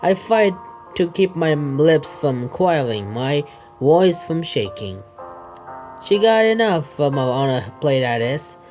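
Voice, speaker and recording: A man doing a game playthrough, reading the narration aloud haltingly and adding his own asides. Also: fairly high pitch, sing-song, darkish tone. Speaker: gaming video creator. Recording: music behind the voice.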